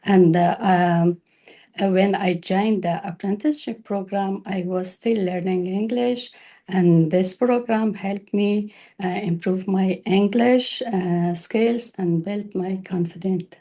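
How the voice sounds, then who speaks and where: A woman's voice: medium pitch, slow, warm tone. A woman from Afghanistan, a Pashto speaker, introducing herself carefully with some hesitation. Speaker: apprentice.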